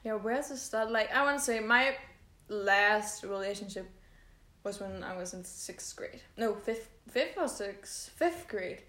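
A woman's voice: mid-range voice, animated, a little slow, a bit bright. A young woman co-hosting a podcast in casual chat, hesitant and self-deprecating. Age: young adult. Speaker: podcast co-host. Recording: echoey room.

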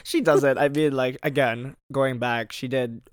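A man's voice: medium pitch, steady tone, measured pace, smooth, no room sound, clean studio sound. Rambling man in casual podcast chat. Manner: rambling, hedging with many fillers. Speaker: podcast co-host.

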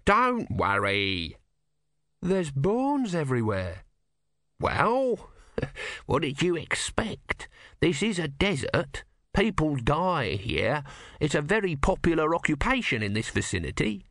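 A man's voice: fairly high pitch, big pitch swings, slow pace, a bit bright, no echo, studio quality, pitched above the performer's own voice, exaggerated voice. A man voicing a bossy, cynical small creature who is sardonic, evasive and pragmatic in an audiobook story.

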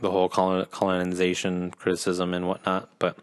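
An American man co-hosting a podcast, chatting informally with quick interjections and a self-deprecating manner. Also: deep voice, completely flat delivery. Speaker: podcast co-host. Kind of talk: casual chat.

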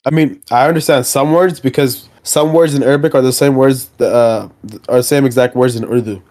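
A young man co-hosting a casual podcast, explaining things in a halting, rambling way with many restarts. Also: medium pitch, fairly even intonation, a little mellow. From Pakistani family background. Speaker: podcast co-host. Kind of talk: casual chat.

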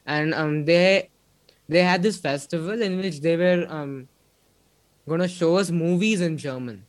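Young man, Indian English accent, guest on a video-call interview; easygoing, filler-heavy, slightly high voice. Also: a little slow, no echo, poor audio. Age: young adult. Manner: rambling, many fillers like "like" and "um". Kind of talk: casual interview chat.

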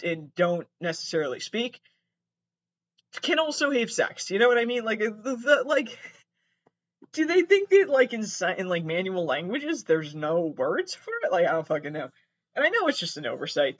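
Higher-pitched, animated, exasperated man. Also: dull audio. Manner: halting, sweary, exasperated asides. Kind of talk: casual comedic commentary, reading messages aloud.

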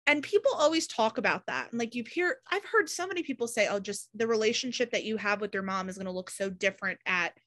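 A woman's voice: on the high side, lively intonation, fast talker, hardly any pauses, fairly bright tone. A woman of about thirty hosting a casual podcast chat, emphatic and peppering her talk with "like". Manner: emphatic, frequent "like" filler. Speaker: podcast host.

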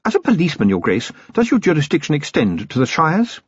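A man's voice: medium pitch, wildly swooping pitch, moderate pace, darkish tone, breathy edge, dry recording, pitched above the performer's own voice, exaggerated voice. A man voicing the colonel's stern older wife, cold, clipped and condescending. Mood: icy. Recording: dry, slightly dull recording.

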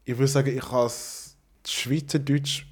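A man's voice: mid-range voice, unhurried. A man, telling stories in a casual chat with laughs. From Congo. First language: French. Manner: animated storyteller, laughs often. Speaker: guest.

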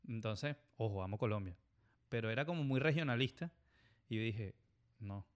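A man's voice: lowish voice, animated, normal speed. Venezuelan guy, casual podcast storyteller, acts out the voices he quotes. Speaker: airline pilot guest.

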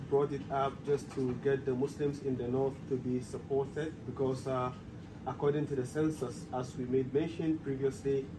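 A man in his forties from Ghana, guest speaker at an evening talk, speaking softly and haltingly with many pauses and fillers. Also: mid-range voice, noisy background. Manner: soft-spoken, hesitant with many fillers. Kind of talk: casual lecture talk.

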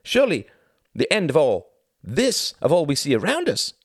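A man's voice: fairly high pitch, wildly swooping pitch, moderate pace, slightly rough, no echo, studio quality, pitched above the performer's own voice, full character voice. A man voicing a proud, scornful young nationalist academic from Germany in an audiobook, with a declamatory, insistent delivery. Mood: exultant.

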